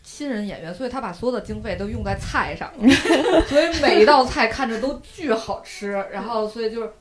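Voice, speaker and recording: A woman's voice: fairly high pitch, expressive, brisk, noisy background. A woman chatting casually as a co-host on a podcast. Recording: music behind the voice. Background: music.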